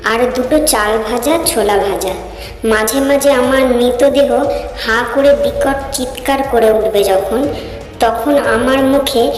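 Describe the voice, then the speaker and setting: An actress playing a mad, commanding ascetic woman of the cremation ground, abrupt and taunting, in an animated horror story. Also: fairly high pitch, natural voice. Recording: echoey reverberant voice over faint music.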